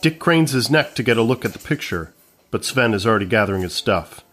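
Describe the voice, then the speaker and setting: A man's voice: lowish voice, animated, a little fast, fairly bright tone. A man reading scripted narration as the narrator of a comedy podcast.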